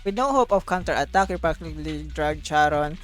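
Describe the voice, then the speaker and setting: A woman streaming a story game, reading out dialogue and reacting in an informal, expressive way. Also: deep voice, brisk. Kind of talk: casual let's play, reading dialogue and reacting. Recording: faint game music behind.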